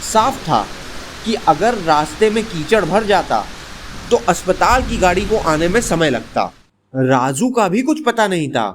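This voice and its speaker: A man narrating a scripted bedtime story in an expressive, dramatic storytelling manner. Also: high-pitched, measured pace, a little hiss or hum.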